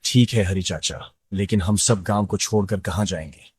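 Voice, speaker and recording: Worried, sympathetic cartoon villager, male actor: very low, rough-edged, questioning. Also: normal speed, dry recording. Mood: anxious. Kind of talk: cartoon moral story dialogue.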